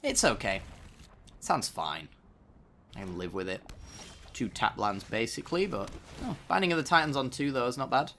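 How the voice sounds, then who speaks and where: A man's voice: mid-range voice, expressive, normal speed, long pauses, sharp tone, a little hiss or hum. A man giving casual, chatty card game commentary with dry sarcasm, still getting over a tickly sore throat. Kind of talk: gameplay commentary.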